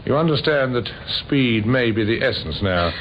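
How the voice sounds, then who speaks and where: An actor playing a courteous, weary police inspector in an old radio crime drama, speaking in a halting, probing way. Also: male voice, mid-range voice, animated, lightly acted. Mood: polite, probing. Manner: hesitant, polite, halting with many uhs. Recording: dull, noisy old radio recording.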